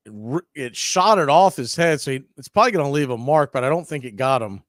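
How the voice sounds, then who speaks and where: A man calling a live baseball game on radio, chatting and telling side stories between pitches. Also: lively intonation, quick pace, dry recording, clean studio sound. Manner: chatty, tells side stories and laughs at them. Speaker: radio play-by-play announcer. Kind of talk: live baseball play-by-play with casual chat.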